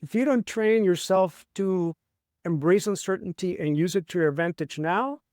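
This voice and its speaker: A man's voice: sits high, moderate pace, darkish tone, no room sound, studio quality. Middle-aged Chilean-born man, explaining. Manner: reflective, explanatory, frequent "right?" tags. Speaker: guest, martial artist and personal trainer. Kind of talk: conversational interview.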